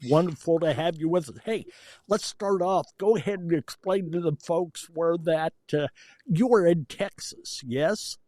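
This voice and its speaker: A man's voice: fairly high pitch, measured pace, warm tone, dry recording, studio quality. A man hosting a podcast, giving introductions and asking interview questions. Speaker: podcast host.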